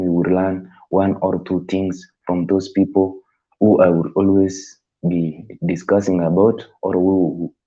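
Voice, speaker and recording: A man whose first language is not English, hosting a live stream in casual, halting talk that rephrases as he thinks aloud. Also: low voice, dark tone, muffled recording.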